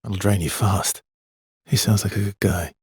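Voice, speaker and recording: An actor playing a weary, guarded immortal expeditioner in a dramatic video game, with a husky, breathy voice and an understated, hesitant, earnest delivery. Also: male voice, fairly even intonation, normal speed, darkish tone, no room sound, clean studio sound. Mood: calm, guarded. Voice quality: husky, breathy, gravelly low voice.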